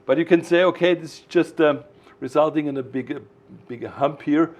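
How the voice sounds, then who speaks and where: A man explaining technical matters informally as a product designer, in a didactic, emphatic way with halting phrasing. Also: fairly high pitch, a little mellow.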